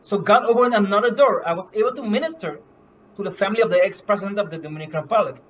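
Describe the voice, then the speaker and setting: A man from Curaçao, a medical missionary, giving an earnest, halting faith testimony full of fillers and restarts. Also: high-pitched, a bit bright, dull audio, slight background noise. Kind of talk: personal faith testimony in a live stream.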